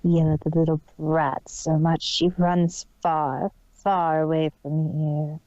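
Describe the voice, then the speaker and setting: A woman voicing a cruel, scheming female villain for a story reading, slow and gloating. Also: low-pitched, little pitch movement, dark tone, dry recording, dull audio, pitched below the performer's own voice.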